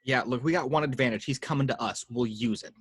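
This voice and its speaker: A man playing a cocky, sarcastic, take-charge superhero with a husky voice in an amateur table read of a film script. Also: medium pitch, fast, close to an everyday voice. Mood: brisk, confident.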